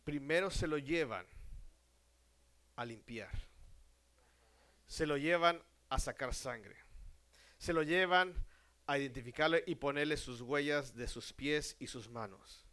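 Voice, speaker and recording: Slow, deliberate male preacher. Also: a little high. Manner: asks the audience questions and answers them himself; slow and deliberate, drops to a hush at ends of phrases. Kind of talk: sermon to a congregation.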